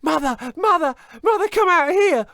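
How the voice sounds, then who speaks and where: Man doing a squeaky panicky little boy yelling for his mum, really high. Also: animated, normal speed, vocal fry, dry recording, clean studio sound, exaggerated voice. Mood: panicked. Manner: high-pitched frantic shouting. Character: panicked young boy, frantic and pleading.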